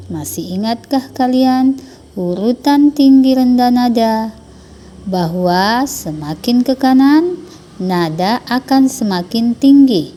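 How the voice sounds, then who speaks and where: A woman primary school teacher, explaining a lesson to children slowly and carefully. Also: mid-range voice, mellow, a little hiss or hum. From South Sulawesi, Indonesia. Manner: slow, careful explaining to pupils. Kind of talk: recorded online lesson for children.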